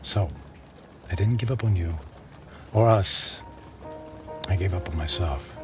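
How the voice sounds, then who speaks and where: Halting, remorseful ex-husband over music. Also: male voice, low voice. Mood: regretful. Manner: halting, stammering, apologetic. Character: remorseful, hesitant middle-aged ex-husband, humbled and pleading. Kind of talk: radio drama acting. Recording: music bed and rain behind.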